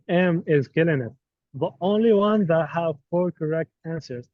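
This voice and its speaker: A man's voice: a little high, a little slow, no echo. A native Arabic-speaking man teaching an online course, running a live quiz in a playful, teasing way. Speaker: course instructor. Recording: dull, dry online call audio.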